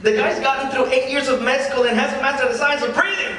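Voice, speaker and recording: Man playing a high-pitched cocky comedian, echoey hall. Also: fairly even intonation, brisk, pushed and bright. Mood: cocky, teasing. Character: cocky, defensive male stand-up comedian, awkward and needling. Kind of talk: live dramatic script reading, stand-up patter. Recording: distant echoey hall, faint noise.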